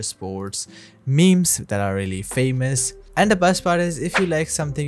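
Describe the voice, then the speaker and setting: A man narrating a scripted software tutorial as an upbeat, instructional YouTube host who addresses viewers directly. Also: medium pitch. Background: background music.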